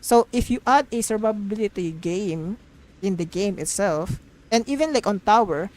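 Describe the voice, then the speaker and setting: A woman's voice: mid-range voice, lively intonation, moderate pace, darkish tone, rough recording. A woman live streamer giving casual, rambling gaming commentary, emphatic and opinionated, repeating phrases to drive points home.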